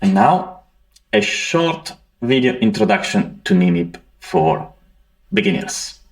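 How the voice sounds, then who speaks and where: A man's voice: lowish voice, big pitch swings, takes it slowly. A man from Italy with an Italian accent presenting at a conference, hesitant with many fillers. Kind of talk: conference talk.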